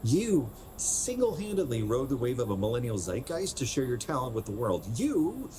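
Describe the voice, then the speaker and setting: A young man playing a wistful, self-conscious 27-year-old in a naturalistic radio drama, speaking in a halting, conversational way. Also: medium pitch, huge pitch swings, background noise. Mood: defensive, earnest. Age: young adult.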